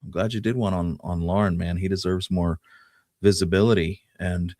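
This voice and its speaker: Low-voiced man in relaxed conversation. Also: moderate pace, dry recording, clean studio sound. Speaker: podcast co-host. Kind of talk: casual chat.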